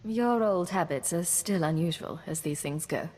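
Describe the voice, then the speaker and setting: A woman voices a cool female intelligence agent in a game, pitched slightly low. She is self-assured, composed and wry. Long reverb and faint noise surround the voice. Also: expressive, moderate pace, darkish tone, close to an everyday voice. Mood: composed, probing. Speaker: game player character. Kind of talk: scripted game dialogue acting.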